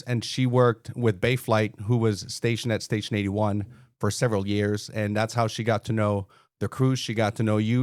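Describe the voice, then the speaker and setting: A man's voice: fairly low pitch, a little mellow, no echo, clean studio sound. Man with a Quebec French accent. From Montreal, Canada. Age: 40s. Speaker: firefighter-paramedic guest. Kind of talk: podcast interview.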